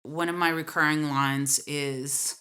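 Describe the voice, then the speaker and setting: A woman's voice: deep voice, fairly even intonation, a little slow, sharp tone. A woman, a voice actor, talking casually in an interview.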